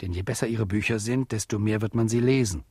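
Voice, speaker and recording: A man's voice: on the low side, fast talker, dark tone, husky, no echo, studio quality. A man playing an eloquent, ironic author-narrator who argues with and teases his listener in a literary radio play. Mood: argumentative, ironic. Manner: eloquent, teasing, rhetorical.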